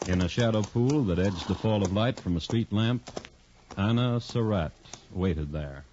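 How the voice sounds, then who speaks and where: A man narrating a 1950s radio crime drama in a measured, dramatic storytelling manner. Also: on the low side, mellow, lightly acted. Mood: measured, grave. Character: dry, deliberate male crime-story narrator. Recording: dull, noisy 1950s radio recording.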